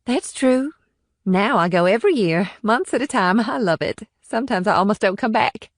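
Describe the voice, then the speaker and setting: A woman's voice: mid-range voice, lively intonation, moderate pace, no echo, studio quality, lightly acted. A woman playing a confident, proud and flirtatious innkeeper in audiobook dialogue, with a poised, self-assured manner. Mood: inviting, flirtatious. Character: confident, proud, flirtatious businesswoman running an upscale inn.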